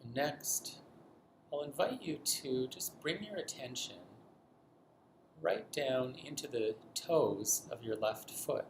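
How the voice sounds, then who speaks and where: A man leading a guided body scan meditation in a gentle, unhurried manner with long pauses. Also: mid-range voice, expressive. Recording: roomy echo, faint background noise.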